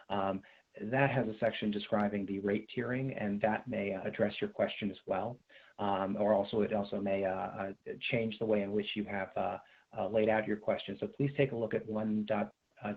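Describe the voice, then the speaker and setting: An American man hosting a formal question-and-answer conference call, speaking in measured official phrasing with some hesitation over video call audio. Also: on the low side, gravelly, narrow, tinny audio.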